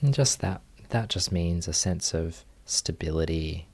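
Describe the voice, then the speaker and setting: A man leading a guided meditation as a teacher, speaking in a gentle, unhurried manner with long pauses. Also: low-pitched, vocal fry, slightly rough.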